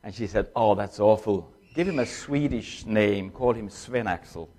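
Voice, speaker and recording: Older man with a Danish accent, low voice. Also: darkish tone. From born in China to Danish parents, raised in Tanzania. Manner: conversational storytelling, slows down to read scripture. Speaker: guest speaker. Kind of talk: sermon testimony.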